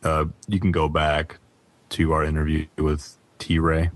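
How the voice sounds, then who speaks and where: A man's voice: extremely low pitch, monotone, measured pace, dry recording. A man hosting a casual gaming podcast discussion. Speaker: podcast host.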